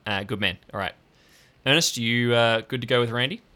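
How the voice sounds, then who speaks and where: A man voicing a brisk, no-nonsense male police officer who gives direct orders. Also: medium pitch, pushed and bright, close to an everyday voice. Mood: approving.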